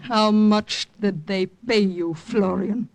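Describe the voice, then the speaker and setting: An actress playing a tense young woman spy, dramatic and deliberate, in old-time radio drama style. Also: mid-range voice, vocal fry. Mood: bitter. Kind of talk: 1940s-50s radio drama acting and first-person narration.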